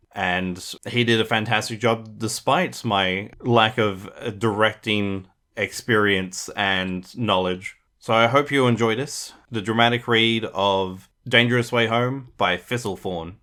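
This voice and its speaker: A man's voice: lowish voice, fairly even intonation, a little slow, sharp tone. A man speaking as a channel host, giving an introduction. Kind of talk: spoken introduction to a video.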